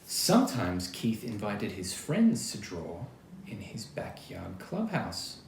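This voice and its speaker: An Australian-accented man reading a picture book to children as an actor, expressive and chatty, speaking straight to his young listeners. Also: fairly low pitch, slow pace. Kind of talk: children's storytime read-aloud with casual asides. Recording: roomy echo, clear background noise.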